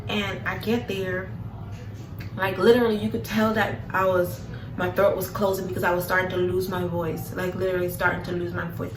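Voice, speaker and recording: A woman's voice: lowish voice, flat delivery, brisk. A young woman vlogger, telling a story casually, saying "like" and "literally" often. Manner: chatty, many "like"s and "literally"s. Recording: echoey room from a distance with background noise.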